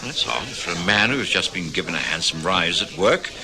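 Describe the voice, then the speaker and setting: A genial gentleman of detective radio drama. His voice sits fairly low and moves with lively inflection. There is a clear murmur of people behind him. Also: moderate pace, a crowd in the background. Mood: discreet, sociable. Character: Doctor Watson, genial English gentleman narrator and companion. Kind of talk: old-time radio drama acting, part narration.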